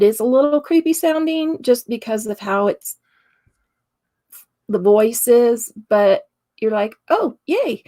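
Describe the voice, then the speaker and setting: A raspy woman co-hosting a laid-back paranormal talk stream. She speaks slowly with a gravelly, creaky edge and laughs easily between thoughts. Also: on the high side, a little mellow. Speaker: paranormal investigator co-host. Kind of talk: casual livestream chat.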